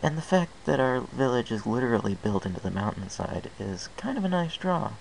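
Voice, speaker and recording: A man's voice: mid-range voice, expressive, a little fast, gravelly, slight background noise, rough recording. A man reading a fan fiction story aloud in the first person, in an earnest, plain way with small restarts.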